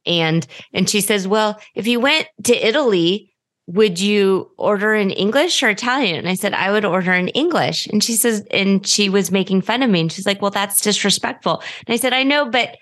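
An American woman speaks as a podcast host in a conversational style. She is effusive and openly self-deprecating, with a mid-pitched voice. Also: normal speed, a bit bright. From United States.

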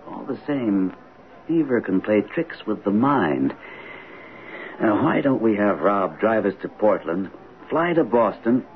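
Earnest, low-voiced father, tinny radio. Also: expressive. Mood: gentle, reassuring. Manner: earnest, gentle, deliberate. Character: concerned, patient father, imaginative and open-minded. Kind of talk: radio drama acting. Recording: tinny, noisy old radio broadcast.

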